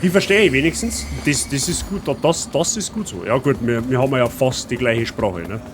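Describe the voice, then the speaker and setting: A man's voice: mid-range voice, lively intonation, a little fast. A man with a Bavarian accent chatting casually with his viewers while playing a game. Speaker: streamer. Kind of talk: casual let's-play chat. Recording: noisy stream audio.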